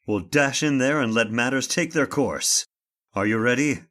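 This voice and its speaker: An actor playing a bold, determined investigator in an old-time radio drama, speaking with formal authority. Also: male voice, mid-range voice, normal speed, a bit bright, close to an everyday voice. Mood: urgent. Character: bold, determined male investigator, commanding and inquisitive.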